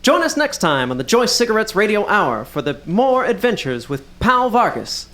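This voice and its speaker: A man's voice: sits high, sing-song, moderate pace, a bit bright. A man playing a dramatic 1940s radio serial announcer, theatrical and suspenseful. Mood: suspenseful, grand.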